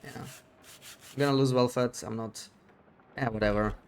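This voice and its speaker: A man streams a game and chats casually with his viewers. His voice is mid-pitched and easygoing. He thinks aloud into a desk mic and often restarts his sentences. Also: steady tone, a little slow, a little hiss or hum. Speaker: live streamer. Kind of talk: casual gameplay chat, reading viewer comments.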